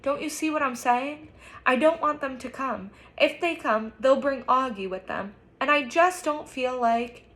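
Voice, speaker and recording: A woman's voice: a little high, normal speed, creaky, hardly any pauses, pushed and bright. A woman voicing a defensive, guilt-ridden and tearful teenage girl in a story reading. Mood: impatient, upset.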